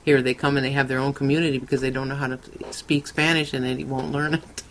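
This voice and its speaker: A radio host in casual conversation with a guest, asking leading questions and laughing often. The voice sits at a middle pitch and stays loose and conversational. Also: flat delivery, fast, noisy background.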